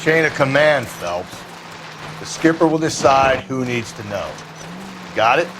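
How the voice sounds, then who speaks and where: An American man playing a gruff, streetwise veteran detective in a video game, blunt and world-weary. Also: medium pitch, lively intonation, a little slow, music in the background, close to an everyday voice. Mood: stern, skeptical.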